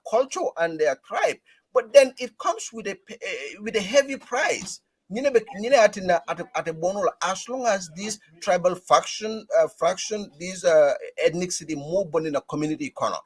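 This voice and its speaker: Male panelist from Gambia, husky and animated, with a high, lively pitch. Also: dry recording. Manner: animated, argumentative, laughs loudly. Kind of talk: panel discussion, mixing English and a local language.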